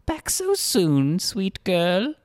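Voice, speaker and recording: A man's voice: high-pitched, wildly swooping pitch, extremely slow, no echo, clean studio sound, pitched above the performer's own voice, full character voice. A man voicing an odd, neckless male town greeter who is relentlessly cheerful and speaks in a sing-song, overly polite way in a storybook reading. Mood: teasing.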